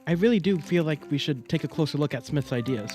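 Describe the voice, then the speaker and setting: A man's voice: fairly high pitch, animated, quick pace, darkish tone, soft background music, close to an everyday voice. A man acting a condescending, idealistic intellectual in a period radio drama, smug and formal. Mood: superior.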